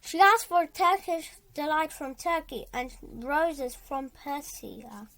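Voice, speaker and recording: Child storyteller, high animated voice, halting read-aloud delivery with stumbles and dramatic emphasis. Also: vocal fry. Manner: halting, stumbles and repeats words while reading.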